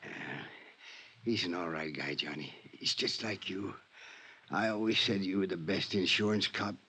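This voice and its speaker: An actor playing a dying small-time crook in a 1950s radio drama, hoarse and weak, with halting slangy tough-guy talk. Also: low-pitched, sharp tone, full character voice. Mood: resigned, grateful. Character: dying male convict, small-time grifter, resigned and wry. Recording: vintage 1950s radio recording.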